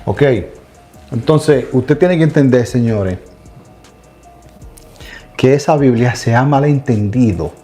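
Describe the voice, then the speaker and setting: A man's voice: medium pitch, slow, pauses often, mellow, soft background music. A middle-aged man co-hosting a casual Bible discussion, talking emphatically and addressing listeners directly. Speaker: co-host of a Bible study channel.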